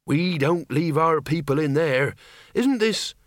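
A man's voice: fairly high pitch, lively intonation, slow pace, no echo, clean studio sound, voice pushed up. A man voicing an earnest, loyal undead activist in an audiobook, plain-spoken. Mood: reassuring.

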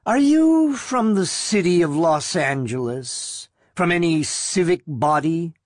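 A woman's voice: lowish voice, lively intonation, slow, dry recording, clean studio sound, voice pushed up. A woman reader voicing a stern, irritable middle-aged chief librarian in a harsh, mocking, imperious manner for a story.

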